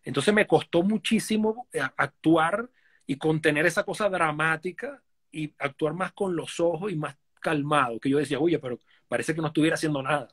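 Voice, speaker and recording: Venezuelan man, rambling, slightly high. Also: moderate pace, a bit bright, slightly rough. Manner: rambling, many fillers and restarts. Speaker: guest. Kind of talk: casual live-stream interview. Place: living room indoors. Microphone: video call.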